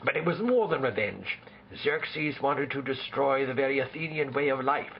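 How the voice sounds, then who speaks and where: A man playing a proud, solemn ancient Spartan king who speaks formally and deliberately in an amateur role-play interview. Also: mid-range voice, expressive, gravelly, highs cut off, a little hiss or hum.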